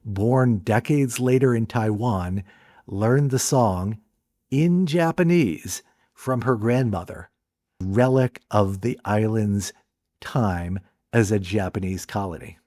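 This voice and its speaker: Low-ish guy doing measured documentary narration, dry close mic, no room sound. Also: a little mellow, dry recording. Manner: measured, deliberate delivery. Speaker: film essay narrator.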